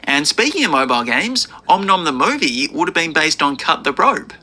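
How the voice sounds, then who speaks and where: A man's voice: on the high side, very animated, moderate pace, pushed and bright. A man reading scripted, informational narration about entertainment news. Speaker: video narrator.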